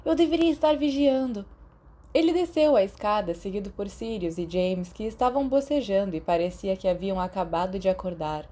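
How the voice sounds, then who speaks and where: A woman's voice: medium pitch, animated, a little fast, few pauses, mellow. A woman with a Brazilian accent reading scripted audiobook narration as a fan-fiction narrator. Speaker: podfic narrator.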